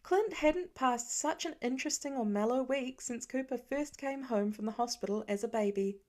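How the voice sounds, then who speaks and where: A woman's voice: fairly high pitch, normal speed, a little mellow. A woman reading a scripted story aloud as an audiobook narrator. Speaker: fan fiction narrator.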